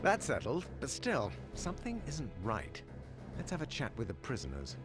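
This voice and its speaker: A man playing a brisk, cautious military adviser giving mission briefings in a video game. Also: mid-range voice, wildly swooping pitch. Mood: urgent, watchful. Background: loud music.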